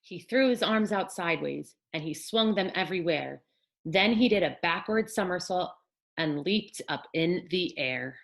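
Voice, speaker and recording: A woman teacher reading a children's bedtime story aloud in an expressive, deliberate storytelling manner. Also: on the low side, gravelly.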